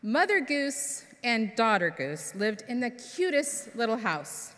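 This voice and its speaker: A woman minister reading a children's story expressively, with dramatic pauses, in a large church hall. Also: on the high side, big pitch swings, fairly bright tone. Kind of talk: expressive storytelling for all ages. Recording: echoey hall with long reverb.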